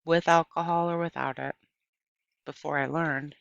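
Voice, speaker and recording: A woman voicing a kind, plainspoken old woman in a storybook, with a folksy, unhurried, gently instructive manner. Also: deep voice, fairly even intonation, vocal fry, no room sound, muffled recording. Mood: firm, kindly.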